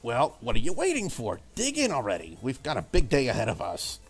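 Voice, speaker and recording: A man's voice: mid-range voice, wildly swooping pitch, a little fast, gravelly, slightly rough, faint music behind, pitched below the performer's own voice, full character voice. A man voicing a confident, bossy young woman in a visual novel, talking in a blunt and breezy way. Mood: brisk, upbeat. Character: brash, confident young woman, breakfast cook.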